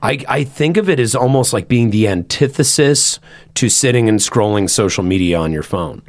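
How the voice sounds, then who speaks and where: A man in his thirties with a loud, carrying voice, co-hosting a podcast and chatting casually with lots of "you know" and "like". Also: fairly low pitch, animated, normal speed. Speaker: podcast host and band member.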